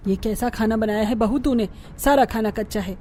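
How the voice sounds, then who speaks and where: A woman's voice: on the high side, moderate pace, dark tone, natural voice. A woman voicing a scolding, exasperated middle-aged mother-in-law in a Hindi cartoon family story. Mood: scolding, annoyed. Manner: nagging, exclamatory.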